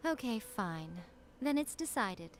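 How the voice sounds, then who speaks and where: A woman's voice: fairly high pitch, big pitch swings, measured pace, slight background noise. A woman voice-acting a sly, aloof shrine priestess in a video game, coy and playfully condescending. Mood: coy, bored. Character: sly, imperious, teasing shrine priestess lady.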